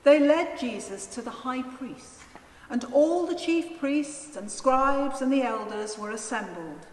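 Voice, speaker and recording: Slow, expressive woman narrator in echo. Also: sits high. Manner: solemn, deliberate. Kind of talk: dramatised scripture narration. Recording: echoey church, long reverb, faint noise.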